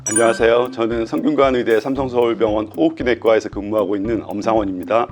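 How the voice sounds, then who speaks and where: Calm, methodical male professor explaining. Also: lowish voice, fast, soft background music. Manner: calm, methodical, with thinking fillers. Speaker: pulmonology professor. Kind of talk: explanatory medical lecture.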